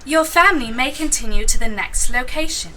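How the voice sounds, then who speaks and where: A woman's voice: high voice, a little fast, sharp tone, far from the mic, a little hiss or hum. A woman playing a stern, formal quiz master in a fantasy audio drama. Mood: formal, commanding. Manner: formal, declarative.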